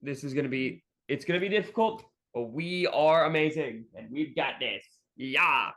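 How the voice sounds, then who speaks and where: A man's voice: fairly high pitch, normal speed, bright, forceful tone. A man in casual podcast conversation, speaking in an earnest, emphatic way. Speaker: fandub team member on podcast.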